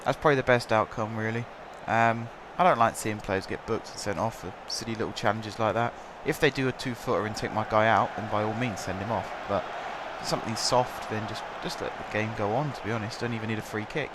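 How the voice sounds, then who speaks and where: A man's voice: on the low side, brisk, few pauses, background noise. A young man with a sore throat giving rambling, chatty commentary over a football management game. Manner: chatty, rambling, filler-heavy. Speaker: gaming commentator.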